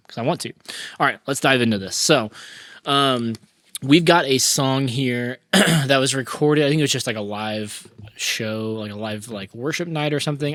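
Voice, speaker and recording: Hoarse man with a cold, chatty. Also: medium pitch, fairly bright tone, no echo, clean studio sound. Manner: chatty, many fillers and restarts. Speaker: mixing engineer tutorial host. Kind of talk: casual screen-recorded tutorial.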